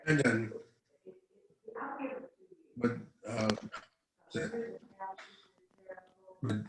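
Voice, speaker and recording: A man playing a secretive caller, halting and clipped, in a drama script read. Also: mid-range voice, very animated, a bit bright, a little hiss or hum, full character voice. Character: secretive man leaving a phone message. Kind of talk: dramatic table read of a web series script. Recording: echoey room, off-mic.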